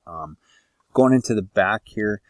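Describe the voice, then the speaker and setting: A man giving a casual, relaxed voice-over consultation, explaining a lighting plan with many ums and uhs. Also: fairly low pitch, a little slow, dry recording, studio quality. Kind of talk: narrating an online design consultation over photos.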